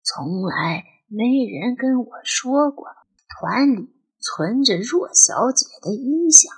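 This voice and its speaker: Lady doing an old granny for an audio drama, raspy, wistful n superstitious, voice swings up and down. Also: fairly high pitch, lively intonation, slow pace, heavily acted. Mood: slightly aggrieved. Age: older. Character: elderly grandmother, former opera dresser, wistful and superstitious. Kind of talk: Chinese audio drama dialogue.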